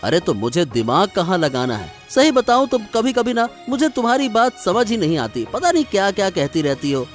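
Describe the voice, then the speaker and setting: A man voicing a naive, mild-tempered, slow-witted young brother in a Hindi cartoon moral story, puzzled and protesting in manner. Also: high-pitched, sing-song, soft background music.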